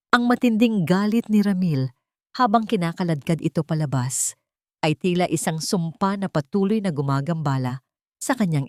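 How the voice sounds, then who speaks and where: A woman's voice: on the low side, a little mellow, dry recording, studio quality. A woman storyteller reading scripted love-story drama in a solemn, dramatic manner.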